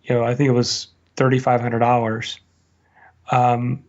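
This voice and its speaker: A man's voice: medium pitch, monotone, unhurried, darkish tone, muffled recording. A man answering as an interview guest, sharing reflective stories and advice in a conversational way. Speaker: interview guest, blogger and online business teacher.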